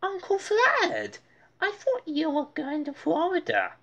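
Woman playing a worried little cartoon schoolboy, high pitched, earnest, bit whiny about things. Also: sing-song, slow, fairly bright tone, lo-fi sound, full character voice. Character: fretful young schoolboy aardvark, easily let down. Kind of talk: storybook character voice.

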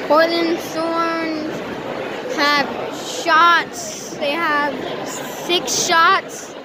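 A woman's voice: extremely high pitch, little pitch movement, drawn-out words, very noisy. A young fan filming a soccer match on a phone, cheering and chatting to her viewers as she calls the play. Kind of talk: excited casual match commentary to camera. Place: outdoor soccer stadium stands. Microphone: handheld phone camera. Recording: loud crowd and music behind. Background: loud cheering crowd and stadium music.

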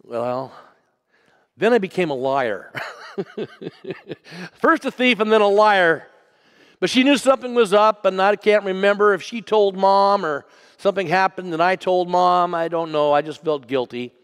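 A man preaching a sermon as pastor, telling a personal story and moving from loud to hushed. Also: high voice, a little slow, clean tone, in a large hall. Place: church sanctuary.

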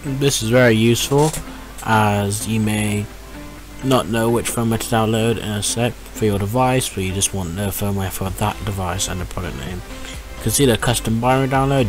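A man's voice: fairly low pitch, fairly quick. A man giving a casual, reassuring step-by-step tech tutorial in an explanatory way. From United Kingdom. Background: music.

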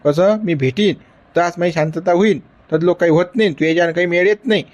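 A cranky, lovesick old man, played by a man with a Varhadi accent, whining and pleading. His voice sits high for a man. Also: fairly quick, dull audio, close to an everyday voice. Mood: stubborn. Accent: Varhadi Marathi. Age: older. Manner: whiny, insistent pleading. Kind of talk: comedy sketch acting.

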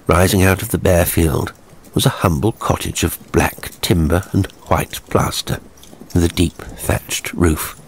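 A low-voiced man reads a horror story at an unhurried pace. His delivery is measured and theatrical, with some noise behind the voice. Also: fairly even intonation, poor audio. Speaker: narrator. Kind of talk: scripted horror story narration.

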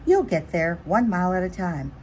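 Woman voicing a steady, low-pitched storybook whale. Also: normal speed, slight background noise. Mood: firm. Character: huge, patient, firm, encouraging cartoon whale. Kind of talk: animated children's picture-book read-aloud.

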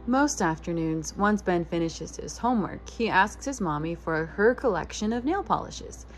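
A woman's voice: fairly low pitch, animated, normal speed, soft background music. A woman in her thirties reading a picture book aloud as a preschool teacher, expressively addressing young children. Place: living room with couch, indoors.

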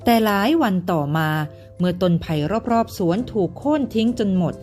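A woman, a mother and storyteller, narrating a bedtime story for children in a gentle manner. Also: mid-range voice, normal speed, soft background music. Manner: gentle, sing-song with children.

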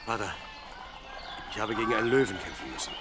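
Guy playing brave shepherd son in bible radio play, low pitched, clear barnyard noise behind. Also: animated, quick pace, lightly acted. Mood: urgent, proud. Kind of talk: German Bible audio drama acting.

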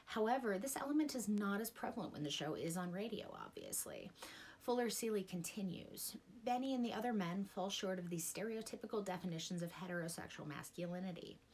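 A woman's voice: medium pitch, sing-song, brisk, darkish tone, slight background noise. A middle-aged woman, hosting and reading scripted narration. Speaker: video essay host.

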